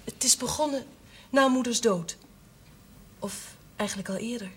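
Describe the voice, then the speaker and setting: Actress as an earnest, troubled young woman, high-voiced. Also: moderate pace, a little hiss or hum, lightly acted. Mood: earnest, confiding. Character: earnest young former novice, burdened daughter managing family business. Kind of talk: television period drama acting.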